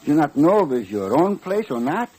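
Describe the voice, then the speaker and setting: An actor playing a simple, good-natured Mexican sidekick who speaks broken English with a Spanish accent, in a radio western. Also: male voice, a little high, sing-song, brisk, mellow, breathy edge. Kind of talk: old-time radio western acting. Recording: very noisy old radio recording.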